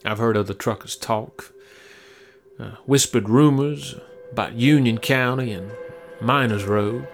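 An actor playing a folksy trucker who talks in a hesitant drawl full of fillers. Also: male voice, medium pitch, a little slow, vocal fry, faint music behind. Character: folksy, rattled middle-aged trucker. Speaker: truck driver.

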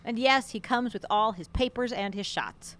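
A woman acting a brisk, teasing mother who runs a boarding house, in an audio drama. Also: a little high, a bit bright, close to an everyday voice.

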